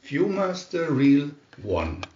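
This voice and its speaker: A man narrating a scripted children's storybook in a deliberate, expressive storytelling manner. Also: fairly high pitch, echoey room, highs cut off, a little hiss or hum.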